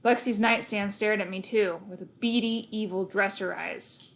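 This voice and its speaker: A woman reads a children's novel aloud in a mid-pitched voice. She tells it in the first person, leaning on key words and acting out the story as she goes. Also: fairly even intonation, a little slow. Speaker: storybook reader.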